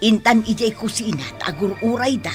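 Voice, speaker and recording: An actress playing a gossipy, bossy but kindly household helper woman with a husky voice in an Ilocano radio drama. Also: fairly high pitch, lively intonation, fairly quick, over loud music, close to an everyday voice. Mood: confiding.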